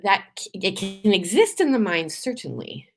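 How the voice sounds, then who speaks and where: A woman from Canada hosting a live show, talking in a chatty, animated way with frequent laughs and restarts, her voice a little tired from days of talking. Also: mid-range voice, moderate pace. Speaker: podcast host. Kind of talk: casual live-stream monologue.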